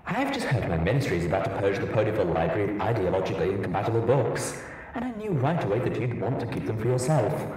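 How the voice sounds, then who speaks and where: A man's voice: on the low side, very animated, quick pace, a little mellow. Man as refined mare on an echo-drenched old recording. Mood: sly, chatty. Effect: distant reverberant old-recording effect. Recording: very echoey, distant, noisy old recording.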